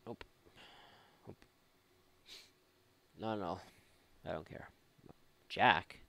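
A man's voice: deep voice, extremely slow, gravelly, a bit bright, no room sound. A man doing casual, irreverent let's play commentary, mocking and thinking aloud. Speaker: gaming YouTuber.

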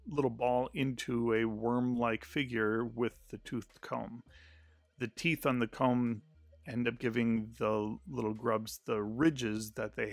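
A man's voice: mid-range voice, creaky, dry recording. A man narrating a step-by-step crafting tutorial in a plain, explanatory voice-over with frequent filler sounds.

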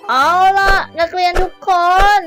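A performer with a high, youthful voice voicing a short-tempered, bossy cartoon schoolteacher who is always hungry, scolding the class in a fan dub. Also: normal speed, natural voice. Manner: scolding, barking orders at the class. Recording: dub over loud background music. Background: music.

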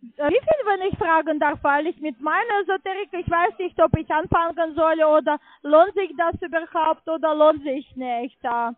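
Really high voiced woman on a tinny phone line, slow and hesitant, call center type. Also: no echo. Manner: hesitant, searching for words, non-native grammar. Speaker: call centre caller presenting herself as coordinator. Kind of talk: halting phone sales conversation.

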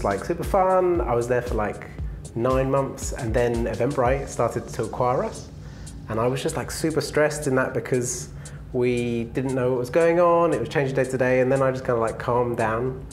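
A man in his thirties from England, answering interview questions in a relaxed, easygoing way with plenty of fillers, on a lapel mic in an indoor lounge. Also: mid-range voice. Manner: relaxed, laughs easily, many fillers. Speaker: interview guest, engineering manager.

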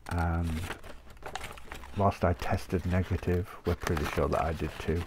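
A man's voice: sits low, steady tone, unhurried, noisy background. A man with a chesty cough, chatting in a rambling way and laughing often while cutting paper at his craft desk. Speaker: craft channel host. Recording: paper crinkling close by.

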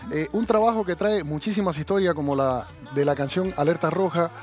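A man's voice: on the high side, fairly quick, a little mellow, faint music behind. A man in his thirties hosting a conversational television interview on a headset in a studio. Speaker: TV interviewer, host.

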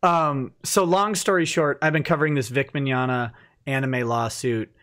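A man's voice: mid-range voice, steady tone, normal speed, fairly bright tone. A man in his thirties hosting a live stream from a home studio, chatty and laughing often, reading viewer comments aloud into a headset mic. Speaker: host of a live stream show. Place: home office studio, indoors. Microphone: headset with boom mic.

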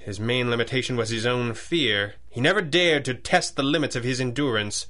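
An actor playing a brooding, wealthy masked crime-fighter in a 1930s-style radio adventure, deliberate and articulate. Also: male voice, medium pitch, sharp tone, lightly acted. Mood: grave, analytical.

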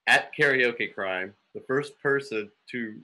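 Male, mid pitch, bright forceful tone, informal rambling chat. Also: takes it slowly. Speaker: writer and actor. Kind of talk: casual chat. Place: small room on video call.

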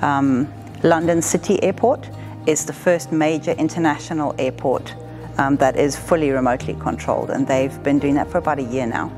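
A woman in her forties from southern Africa, talking in a measured, matter-of-fact way as an air traffic controller being interviewed. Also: deep voice, steady tone, over music. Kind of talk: explanatory interview talk. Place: indoor room with wood-panelled wall.

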